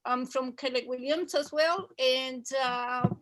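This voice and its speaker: A woman's voice: high-pitched, slow, fairly bright tone. A middle-aged woman realtor introducing herself to a group in an online meeting. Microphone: video call.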